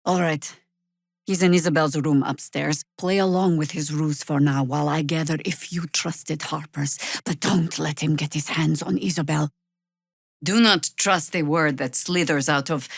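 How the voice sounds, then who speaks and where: An actress playing a world-weary, wry middle-aged veteran druid who leads rebels in a video game, with a dry, measured delivery. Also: female voice, sits low, steady tone, gravelly, a bit bright, dry recording, exaggerated voice. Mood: grave, wary. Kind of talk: video game character acting.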